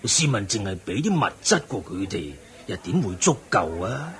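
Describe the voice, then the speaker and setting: A man playing a wise, benevolent Buddhist lay sage in a Cantonese radio drama, speaking in a measured, didactic way. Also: lowish voice, very animated, fairly bright tone, some chatter behind. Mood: calm teaching.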